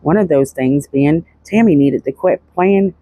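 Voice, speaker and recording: A woman's voice: deep voice, measured pace, mellow, dry recording. A woman hosting a true-crime show, giving casual analytical commentary.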